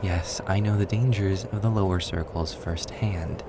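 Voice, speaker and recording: A man playing a snarky, weary, well-spoken demon guide in an intimate audio roleplay, with a husky, gravelly voice and a dry, wordy manner. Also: low voice, steady tone, very noisy, natural voice. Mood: wry, patient. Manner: sarcastic, wordy, dry, deliberate. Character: snarky, weary, erudite male demon guide trapped in hell.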